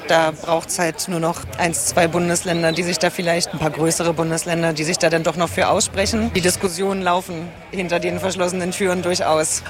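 A man speaking for a campaign in a street interview at a demonstration, talking casually and hedging. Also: sits high. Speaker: campaign spokesperson. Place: outdoors at a demonstration. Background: crowd.

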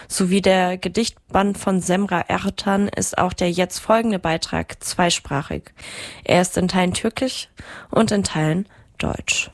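A woman presenting on radio in an informal style, with hesitant, searching pauses. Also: mid-range voice, no echo, studio quality.

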